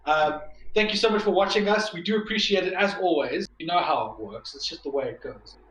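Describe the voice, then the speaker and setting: A man's voice: fairly high pitch, fairly quick, a bit bright. A South African man co-hosting a casual podcast stream, jokey and laughing often. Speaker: podcast co-host. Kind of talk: casual chat, signing off a stream. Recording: roomy call audio, faint hiss.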